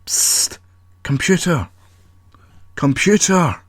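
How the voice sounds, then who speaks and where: Man acting frustrated, defiant captive, voice pitched up. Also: lively intonation, extremely slow, no echo, studio quality, exaggerated voice. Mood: urgent hushed. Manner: exclamatory, demanding questioning. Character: frustrated, impatient trapped young male, pleading and defiant.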